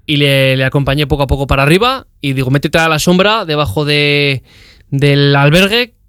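Chatty man, smooth and dry. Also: on the high side, moderate pace, no room sound. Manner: chatty, animated, retells dialogue. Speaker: cycling guest. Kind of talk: radio interview, storytelling.

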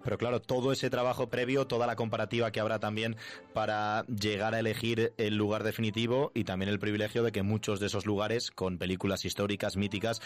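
A man's voice: on the low side, level tone, fast. A man co-hosting a regional radio show in casual chat, with an affirming, chatty manner. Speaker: radio host. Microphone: studio microphone.

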